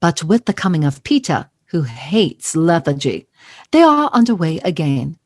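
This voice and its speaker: A woman's voice: low-pitched, very animated, normal speed, a little mellow, dry recording, studio quality. A woman narrating an audio play as a wry, theatrical storyteller reading scripted literary prose.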